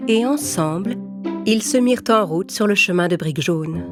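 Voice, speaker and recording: A woman's voice: medium pitch, normal speed, loud music behind the voice. A woman reading a scripted children's story as narrator.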